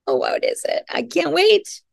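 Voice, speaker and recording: A woman's voice: very high-pitched, wildly swooping pitch, normal speed, vocal fry, no room sound, clean studio sound. A middle-aged woman reading a picture book aloud to children, expressively, stopping to explain and to prompt listeners with questions. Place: classroom, indoors.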